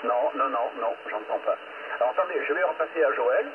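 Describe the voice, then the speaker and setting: CB operator, male, casual chat, thin radio-band sound with clear static. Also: mid-range voice, expressive, brisk, narrow, tinny audio. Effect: heard over two-way radio.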